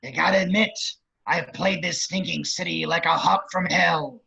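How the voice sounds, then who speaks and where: A man acts out a grotesque, grandiose villain giving bitter political speeches at a table read. The voice is pitched high for a man, gravelly and husky. The audio is dull and lo-fi. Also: moderate pace, sharp tone, exaggerated voice. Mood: gloating. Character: grotesque, grandiose male crime boss posturing as politician.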